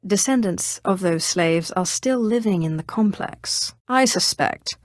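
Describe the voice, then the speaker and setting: A woman's voice: mid-range voice, expressive, a little slow, no echo, clean studio sound, natural voice. A woman playing a haughty, menacing Sith sorceress in scripted video game dialogue, sardonic and imperious. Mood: cool, businesslike. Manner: imperious, sardonic, crisply commanding.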